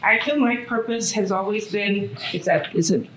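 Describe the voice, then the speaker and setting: A middle-aged woman on a panel, loud-voiced, warm and self-deprecating. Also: mid-range voice, moderate pace, reverberant, over a noisy crowd, low-quality recording. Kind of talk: panel discussion talk. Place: hotel event room at a brunch.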